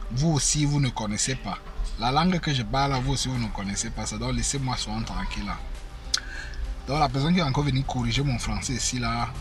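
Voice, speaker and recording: A man, hosting his channel and talking casually to viewers with many fillers and repeated phrases. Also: mid-range voice. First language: African mother tongue. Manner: conversational, repeats himself, frequent fillers. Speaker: YouTube channel host. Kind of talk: casual spoken commentary to viewers. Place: indoors in Germany.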